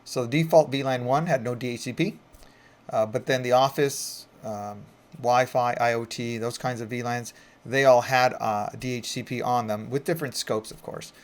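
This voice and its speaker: A man with a mid-pitched voice narrates a technical walkthrough as an instructor. He speaks in an informal, conversational way. He explains each step as he goes, in the style of a casual screen-recorded tutorial. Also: steady tone, moderate pace. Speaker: IT instructor and presenter.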